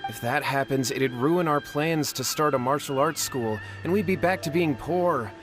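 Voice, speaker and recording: A man's voice: fairly high pitch, moderate pace, music in the background, close to an everyday voice. A man voicing a nervous young man in a video game, stammering and flustered. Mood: confessing. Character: nervous young man, scheming and easily cowed.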